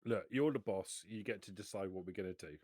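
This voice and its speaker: A man's voice: fairly low pitch, a little fast, darkish tone, breathy edge, no echo. A man playing a tabletop roleplaying game, talking casually and improvising as he goes.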